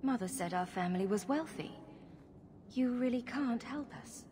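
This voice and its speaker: An actress playing a determined young mage in a video game, composed, questioning and drily sceptical. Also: female voice, fairly high pitch, animated, moderate pace, darkish tone, lightly acted. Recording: noisy ambience behind the voice.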